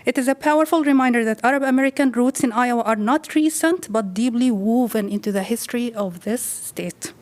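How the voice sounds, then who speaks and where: A woman reading a formal prepared statement at the podium of a city council chamber, with measured delivery. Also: a little high. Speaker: community advocate.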